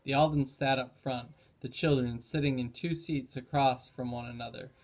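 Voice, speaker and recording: A man's voice: mid-range voice, steady tone, normal speed. A man narrating a children's chapter book in a read-aloud style.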